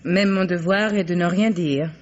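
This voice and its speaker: Poised female private secretary, played by an actress: crisp, guarded, formal, old radio drama on muffled tape. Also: on the low side, steady tone, normal speed, close to an everyday voice. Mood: cool, guarded. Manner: crisp, curt, formal replies. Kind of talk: vintage French radio mystery acting. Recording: dull, vintage radio recording.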